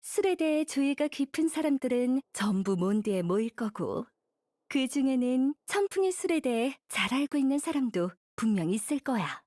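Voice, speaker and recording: High-voiced gentle woman mentor. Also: big pitch swings, moderate pace, no echo, clean studio sound. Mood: advising. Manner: patient, encouraging, teacherly. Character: kindly, wise young woman mentor, gently guiding. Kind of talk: Korean anime game voice acting.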